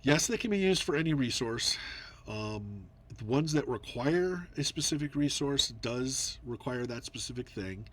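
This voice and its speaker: A man's voice: medium pitch, animated, a little slow. A man casually explaining his choices in a digital board game, a little congested and nasal, thinking aloud with many fillers. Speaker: board game video player. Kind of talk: casual gameplay commentary.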